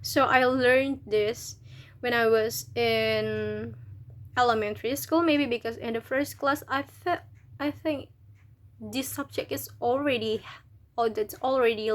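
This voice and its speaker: A young woman from Indonesia with an Indonesian accent, a university student chatting to camera, hesitant and restarting as she searches for words. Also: fairly high pitch. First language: local Indonesian language. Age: young adult. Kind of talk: casual video talk to camera.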